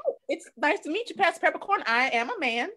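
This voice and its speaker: A woman's voice: sits high, expressive, quick pace, pushed and bright. A woman playing an earnest, bashful, smitten man who owns a bakery in improvised comedy.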